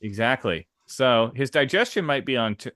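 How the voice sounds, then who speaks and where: A man's voice: mid-range voice, expressive, normal speed, fairly bright tone, no echo, studio quality. A man co-hosting a podcast in casual chat, talking with enthusiastic emphasis. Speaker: podcast co-host.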